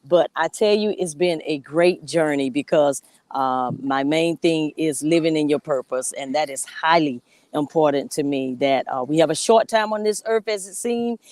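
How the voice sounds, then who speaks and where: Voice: mid-range voice, normal speed, darkish tone, no echo. An earnest motivational speaker reflects on living with purpose. The sound is dry and close, with no room around it, over a video call. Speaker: motivational speaker, show host. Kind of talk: guest talk on an online show.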